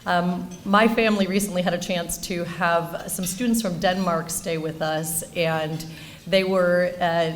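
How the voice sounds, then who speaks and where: A woman's voice: lowish voice, steady tone, a little slow. Woman giving an informal award speech, background noise. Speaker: banker presenting an award.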